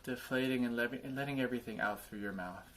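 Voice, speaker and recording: A man leading a guided body scan meditation, speaking in gentle invitations with frequent pauses. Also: mid-range voice, a little hiss or hum, low-quality recording.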